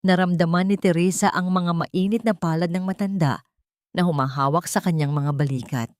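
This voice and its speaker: Casting: female audiobook narrator, lowish register, dramatic scripted fiction, very dry studio-style sound. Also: darkish tone, dry recording.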